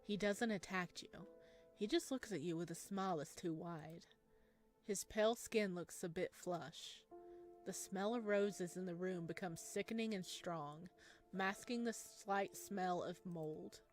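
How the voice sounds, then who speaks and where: A woman game streamer with an unhurried delivery and a medium pitch. She reads story text aloud and reacts expressively and dotingly, with music playing behind her. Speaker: streamer playing a visual novel.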